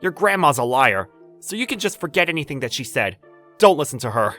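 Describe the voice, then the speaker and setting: A woman voicing a loving, protective husband who speaks softly and turns angry at his mother. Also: low-pitched, big pitch swings, fairly quick, faint music behind, pitched below the performer's own voice. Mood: gentle. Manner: gentle and soothing, furious when defending.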